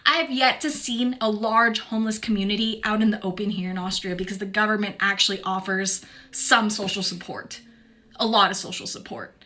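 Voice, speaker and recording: Woman from LA, bright voice, animated, sarcastic vlog storyteller. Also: mid-range voice, fairly quick. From Los Angeles. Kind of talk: casual storytelling to camera.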